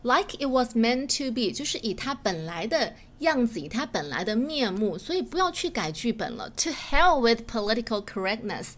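A woman's voice: fairly high pitch, sing-song, normal speed, hardly any pauses. A woman whose native language is Mandarin, explaining phrases as the host of a language lesson. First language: Mandarin Chinese. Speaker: English teacher, host. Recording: faint background noise.

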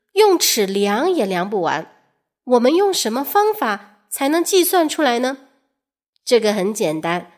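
A woman storyteller reading scripted educational narration for children, speaking directly to young listeners in a teacherly, questioning manner. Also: fairly high pitch. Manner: addresses young listeners directly with rhetorical questions and soft sentence particles.

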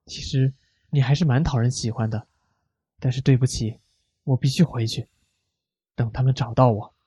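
Man acting a gentle, stranded young hero. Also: medium pitch, fairly even intonation, normal speed, slightly rough. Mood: gentle, subdued. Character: stranded man from another world, gentle, awkward, conscientious. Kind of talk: Chinese sci-fi radio drama acting. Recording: music bed under the voice.

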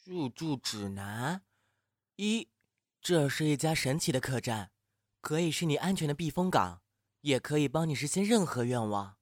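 A man's voice: fairly high pitch, very animated, moderate pace, no room sound. A man playing an awkward, skeptical young man in an audio drama, jumpy and easily flustered. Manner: stammering, jumpy, incredulous. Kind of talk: Chinese audio drama acting. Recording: faint music behind the voice.

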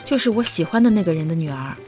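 A woman's voice: fairly low pitch, lively intonation, quick pace, a little mellow, faint music behind, close to an everyday voice. A woman playing a playful, cheeky young adult who is devoted and tender, in a modern Chinese audio drama. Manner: playful, coaxing.

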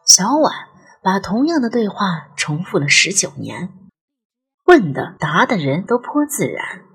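Animated woman narrating an eerie ghost story. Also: mid-range voice. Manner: expressive, suspenseful storytelling. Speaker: audiobook narrator. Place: studio recording with music behind.

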